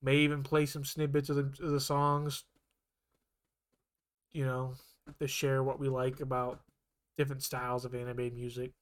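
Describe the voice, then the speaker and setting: A man's voice: medium pitch, normal speed, long pauses. A man co-hosting a podcast, chatting casually and rambling with frequent restarts. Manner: rambling, self-correcting, even-toned. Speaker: podcast co-host. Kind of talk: casual chat.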